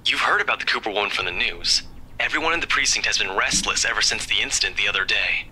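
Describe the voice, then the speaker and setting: A forceful, very bright male voice with a husky edge, an earnest young police officer in a visual novel. He is concerned and serious, speaking to a close friend. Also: fairly low pitch, fast talker, exaggerated voice, low-quality recording. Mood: worried, serious.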